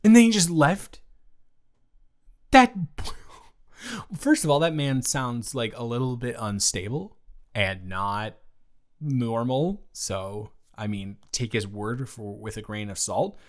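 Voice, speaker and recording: A man acting as a male roommate and close friend in a romantic audio roleplay. He talks slowly in a medium-pitched voice, casual and halting, stumbling over words. He is teasing and supportive, quick to get indignant on a friend's behalf. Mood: indignant, reassuring. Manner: halting, stammering, casual, animated outbursts.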